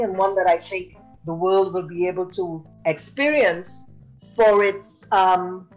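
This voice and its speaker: A woman's voice: medium pitch, low-quality recording. Effusive woman podcast host. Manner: effusive, praising. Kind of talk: interview conversation.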